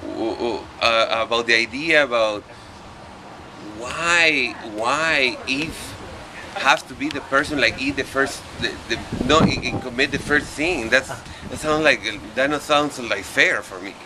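A middle-aged man from Peru with a Spanish accent, talking casually in halting non-native English as an interviewed painter. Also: medium pitch. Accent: Peruvian Spanish. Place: outdoors on a porch. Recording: outdoor street noise.

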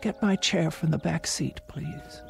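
Old domineering spinster in a radio drama, slow and haunted, music underneath. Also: female voice, sits low, vocal fry, heavily acted. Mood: subdued, resolute. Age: older. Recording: music behind the voice.

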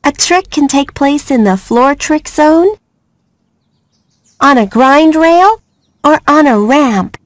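Upbeat small bird, high-pitched, dry sound, encouraging, instructive, video game cartoon. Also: female voice, lively intonation, slow, pauses often, dry recording. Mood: cheerful, encouraging. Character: friendly, upbeat little female bird. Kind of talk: animated video game cutscene acting.

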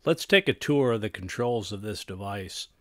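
Low-pitched middle-aged man, technical narration. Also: animated, noisy background. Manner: measured, formal, precise wording. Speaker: presenter of a radio equipment channel.

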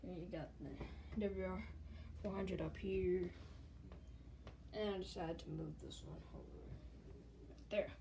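A woman hosting an informal show-and-tell vlog, talking haltingly into a handheld device in a small room at home. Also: on the low side, fairly even intonation, vocal fry. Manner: informal, halting, repeats stock phrases. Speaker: host of a gadget collection video channel. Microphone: handheld phone or camera, held close. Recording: noisy handheld home recording with clicks.

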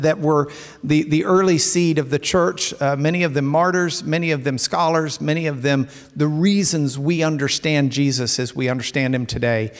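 A middle-aged man with a handheld mic, introducing a guest speaker in an animated, slightly halting way. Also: fairly high pitch. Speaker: event host. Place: church stage, indoors. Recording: long church reverb.

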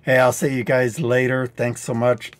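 A man in his sixties hosting a live DIY training stream, going a bit hoarse, explaining tricks in a dry-humored, rambling way on a headset mic indoors. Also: medium pitch, level tone, normal speed. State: getting hoarse. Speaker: DIY channel host. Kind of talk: live-stream teaching chat. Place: indoor studio set.

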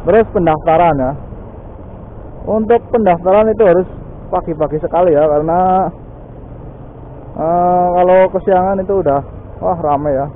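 A man talks casually as he rides a motorbike, scattering little fillers through every sentence. His voice sits high and sounds thin and phone-like. Faint traffic hums underneath. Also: normal speed, pauses often. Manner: chatty, lots of "ya" and "guys" fillers. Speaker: motovlog host. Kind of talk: casual chat while riding. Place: riding a motorbike on city streets outdoors.

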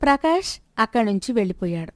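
A woman's voice: on the high side, very animated, fast talker, a little mellow, no echo, studio quality. A woman reading scripted narration for a moral story.

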